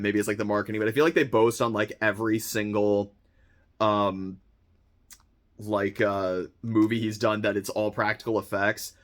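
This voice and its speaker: A young man with a slightly hoarse, worn voice after being sick talks straight to his viewers. He rambles eagerly through an unboxing, full of fillers and restarts, and he keeps getting excited about what he pulls out. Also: medium pitch. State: slightly hoarse, recovering from illness. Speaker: vlogger unboxing a film subscription box. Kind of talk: casual unboxing chat.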